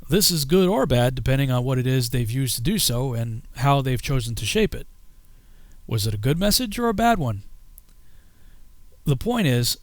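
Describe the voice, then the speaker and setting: A man delivers scripted personal narration in a medium-pitched voice. His phrasing is measured and emphatic, setting out his points one by one. The recording is dry, with very little room sound. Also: dry recording. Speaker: filmmaker and YouTuber narrating his own video.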